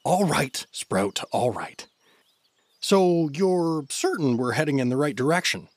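A bumbling male adventurer with a raspy edge. He rambles awkwardly, his pitch bouncing around, in a comedic fantasy audio drama recorded dry. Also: lively intonation, unhurried, dry recording. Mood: exasperated. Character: goofy, awkward, exasperated father-figure adventurer.

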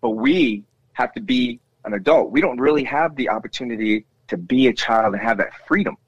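A man with a bass voice talks with rising passion, stacking up repeated words as he breaks in. The sound is dry and a little dull, with no room around it. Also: lively intonation, fairly quick, gravelly, slightly rough, no room sound. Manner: impassioned, repeats himself when cutting in. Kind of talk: casual discussion.

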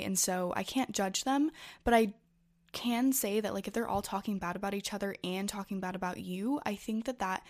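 A young woman chatting casually as she answers viewer messages, her talk full of "like" and "you know". She stays reassuring and easygoing throughout. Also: mid-range voice. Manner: chatty, filler-heavy, reassuring. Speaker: advice vlogger. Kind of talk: casual advice chat, reading viewer messages.

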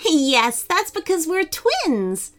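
A brave little girl from a children's storybook, played by a woman. Her voice is high and lively in pitch, kind and earnest. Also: slow, faint music behind, full character voice. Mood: cheerful.

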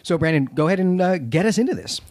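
A man's voice: high voice, expressive, fast talker, a little mellow, no echo. A man talking casually as a podcast co-host, chatty and lightly self-deprecating.

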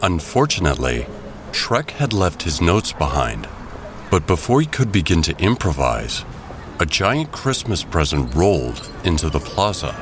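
A man narrating a scripted audio drama in a dramatic, deliberate storytelling way. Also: deep voice, very animated. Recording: loud music bed behind the voice. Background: loud music.

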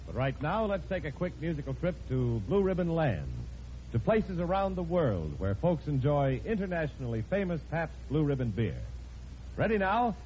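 A man's voice: fairly high pitch, very animated, normal speed, a little mellow, slight background noise. An American man announcing an old radio show and reading its sponsor messages in 1950s style. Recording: muffled old radio recording, music behind.

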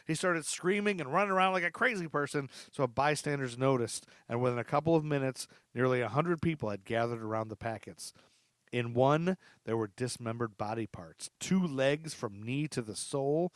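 A man's voice: medium pitch, dry recording. A man hosting a podcast, telling true crime stories partly read from notes, with informal, joking asides. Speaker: podcast host. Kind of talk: true crime storytelling, part read from notes, part casual chat.

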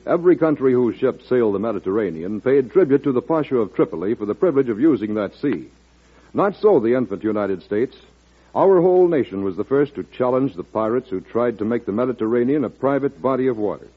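A man's voice: mid-range voice, slightly rough. A male radio announcer reading a scripted recruitment spot in old-time broadcast style. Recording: tinny, narrow-band vintage radio recording.

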